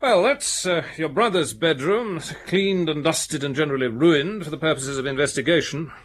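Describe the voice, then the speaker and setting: Husky, earnest police detective. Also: male voice, on the high side, moderate pace, a bit bright. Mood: matter-of-fact. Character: methodical, earnest English police detective, loyal friend. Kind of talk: British radio drama acting.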